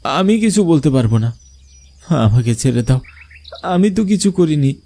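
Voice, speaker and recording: A man playing a travelling bioscope showman, narrating an eerie cartoon story in the first person with expressive delivery. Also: a little high, moderate pace. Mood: pleading. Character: travelling village bioscope showman telling his own eerie tale.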